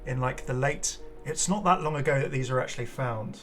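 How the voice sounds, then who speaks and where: A man's voice: mid-range voice, a little fast, fairly bright tone. A man hosting a gaming video, giving casual, chatty and enthusiastic commentary with rambling asides. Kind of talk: casual game showcase commentary. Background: music.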